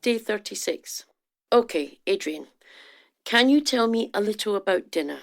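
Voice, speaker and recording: An actress playing an earnest research scientist in a radio drama, speaking in a measured, clinical manner. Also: female voice, medium pitch, expressive, vocal fry. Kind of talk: audio drama acting.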